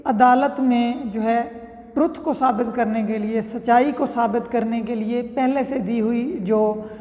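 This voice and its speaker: Female lecturer, Pakistani accent, high voice, conversational step-by-step teaching, strong room echo. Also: fairly even intonation, unhurried, warm tone. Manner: conversational, often checking understanding with tag phrases. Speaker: law lecturer. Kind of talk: explanatory lesson with examples. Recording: echoey room with long reverb.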